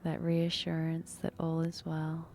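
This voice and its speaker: A woman leading a guided meditation in a soft, breathy, unhurried, soothing manner with long pauses. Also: sits low, completely flat delivery, a little hiss or hum.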